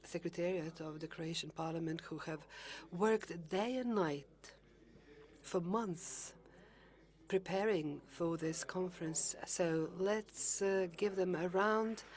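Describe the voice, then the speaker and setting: Give me a woman closing a conference in a conference hall, speaking slowly and carefully, with some pauses and restarts. Her tone is formal, and she delivers her thanks in unhurried phrases. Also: on the low side. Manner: measured, with hesitations and restarts. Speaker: conference chair.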